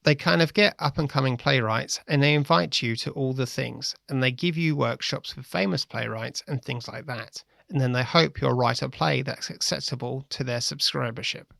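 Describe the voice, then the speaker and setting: A man with a medium-pitched voice and plenty of fry in it. He relates first-person stories in the role of a playwright. The sound is dry, with next to no room on it. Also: moderate pace, few pauses, fairly bright tone, dry recording. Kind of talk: reading quoted first-person anecdotes.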